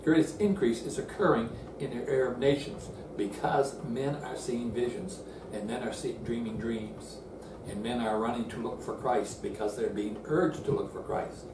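A man's voice: medium pitch, moderate pace. Older man teaching scripture in a roomy room. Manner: earnest and explanatory, with frequent restarts and reading of quoted verses. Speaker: Bible teacher. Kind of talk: seated Bible lesson to camera. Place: indoor room in front of a screen. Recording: roomy, distant, noisy room sound.

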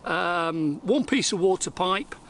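A high, gravelly-voiced man in his forties chats loosely through a homemade gear project, trailing off and restarting as he goes. His voice comes through a camera mic at a distance, with a roomy echo and a faint hiss underneath. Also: animated, takes it slowly, a bit bright. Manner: rambling, chatty, with many fillers and restarts. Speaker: vlogger. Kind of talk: casual how-to vlog. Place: outdoors by a lake, then indoors in a kitchen.

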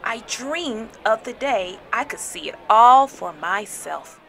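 A woman storyteller reading a children's book aloud in an expressive, dramatic way. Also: fairly high pitch, takes it slowly, slight background noise, low-quality recording. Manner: animated, dramatic phrasing.

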